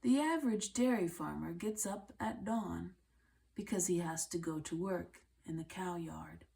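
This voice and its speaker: A woman voicing an earnest, humble older male farmer who loves nature, speaking deliberately in a storybook read-aloud. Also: fairly low pitch, creaky, mellow. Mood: quietly proud.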